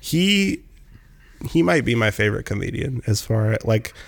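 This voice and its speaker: A man's voice: mid-range voice, big pitch swings, moderate pace, creaky, no room sound. A man in his twenties chatting casually, rambling with lots of "like" and fillers. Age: 20s. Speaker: musician guest. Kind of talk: casual chat.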